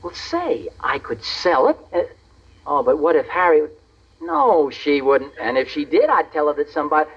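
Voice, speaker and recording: An actor playing an exasperated, wry suburban husband with a hesitant manner in a 1940s radio sitcom. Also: male voice, fairly high pitch, very animated, normal speed, slightly rough, heavily acted. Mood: excited. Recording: muffled vintage radio broadcast.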